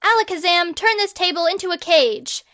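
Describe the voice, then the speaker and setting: A woman's voice: extremely high pitch, lively intonation, normal speed, bright, forceful tone, no echo, studio quality, voice pushed up, exaggerated voice. A woman voicing a pompous, self-proclaimed boy wizard hero who makes grandiose, bossy proclamations.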